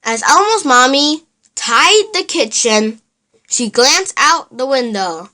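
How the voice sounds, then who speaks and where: Female children's storyteller, high-pitched and very lively in intonation, unhurried, deliberate read-aloud. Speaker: children's book reader.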